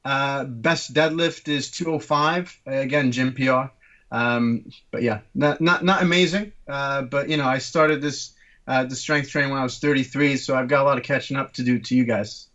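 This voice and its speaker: A man's voice: medium pitch, steady tone, moderate pace, a bit bright. Hesitant man in his thirties, dull audio. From Turkey. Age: 30s. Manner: hesitant, lots of ums and uhs, explanatory. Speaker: guest orthopaedic surgeon. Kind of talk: casual podcast interview.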